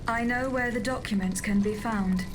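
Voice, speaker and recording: A woman's voice: fairly high pitch, moderate pace, background noise, close to an everyday voice. An actress playing a resolute, composed female protector in a video game cutscene, speaking formally and directly. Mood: composed, purposeful.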